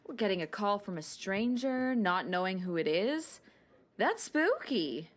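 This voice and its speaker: A woman's voice: mid-range voice, big pitch swings, unhurried. A woman playing a kindly, helpful town hall clerk in a radio play, friendly, hesitant and reassuring. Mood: chatty.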